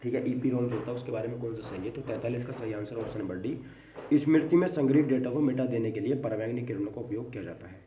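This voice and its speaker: Fast male teacher, echoey recording. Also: medium pitch. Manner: repeats catchphrases, mixes English terms into explanations. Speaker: online exam-prep teacher. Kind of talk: informal lesson reading out quiz questions and answers. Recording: dull, distant, echoey room with faint noise.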